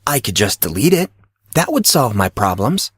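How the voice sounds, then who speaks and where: A man's voice: lowish voice, very animated, normal speed, fairly bright tone, slightly rough, no echo, studio quality, full character voice. A man voicing a puzzled, skeptical fourteen-year-old schoolboy, questioning and sarcastic, in an audio drama. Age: teenager. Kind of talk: audio drama character reading.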